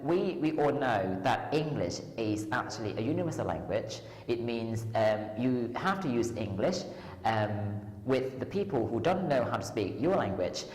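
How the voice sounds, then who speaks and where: A Thai-accented woman with a strikingly deep voice answers interview questions, pausing on ums and starting her sentences over. She sounds as if she is in a large room with a long, ringing echo. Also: darkish tone. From Thailand. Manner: hesitant, many ums and restarts. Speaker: lecturer and tutor, runs a tutoring school. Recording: echoey hall with long reverb.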